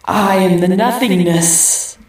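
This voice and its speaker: A performer voicing a cold, cruel female entity of nothingness, speaking in slow, detached pronouncements. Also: medium pitch, fairly even intonation. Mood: menacing. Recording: heavy echo, distant from mic.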